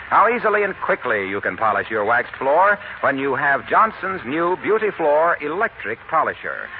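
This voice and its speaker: Animated 1940s radio announcer man, noisy recording. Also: on the high side. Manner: polished, emphatic sales delivery. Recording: muffled, noisy old radio broadcast.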